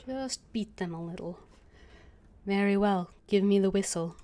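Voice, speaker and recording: A woman's voice: medium pitch, a little slow, mellow, a little hiss or hum. A woman game streamer, reading out dialogue and commenting casually as she plays.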